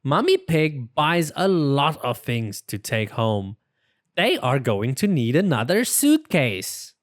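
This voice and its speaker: A storybook reader narrating for young children in an expressive, deliberate way and voicing the characters. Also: mid-range voice, no echo, studio quality.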